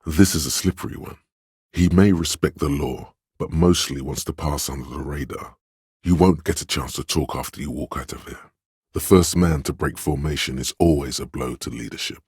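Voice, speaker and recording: A man's voice: a bass voice, moderate pace, darkish tone, husky, no echo, studio quality, heavily acted. A man playing a commanding, pompous inner voice of authority in a video game, speaking in stern, declamatory pronouncements. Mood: stern, judging. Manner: declamatory, imperious, clipped pronouncements. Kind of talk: scripted video game narration and voice acting.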